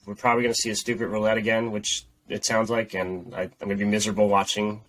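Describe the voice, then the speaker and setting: A man's voice: on the low side, level tone, fast talker, fairly bright tone, low-quality recording. A man co-hosting a sports podcast while sick with a head cold, his voice nasal and congested as he talks in a rambling, repetitive way. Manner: rambling, repeats himself. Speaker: podcast co-host. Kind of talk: casual sports chat.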